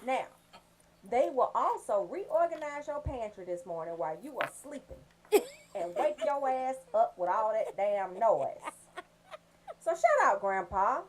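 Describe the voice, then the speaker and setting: A woman hosting a radio show, giving casual, comedic shout-outs in a sassy, joking, profane way. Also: a little high, lively intonation, a little slow, background noise.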